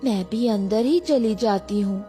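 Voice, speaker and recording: A woman's voice: medium pitch, unhurried, natural voice. A woman voicing a sweet, easily frightened little granddaughter in a cartoon horror story, childlike and hesitant. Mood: timid, curious. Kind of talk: animated horror story acting. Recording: background music.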